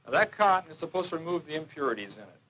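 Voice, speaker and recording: Higher-voiced man lecturing, dull noisy old film. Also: very animated, racing. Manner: questioning, repeats and confirms answers. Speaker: police drug educator giving a school talk. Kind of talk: informal classroom lecture with slides and questions to pupils. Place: classroom with a slide projector. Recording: dull, noisy 1970s educational film soundtrack.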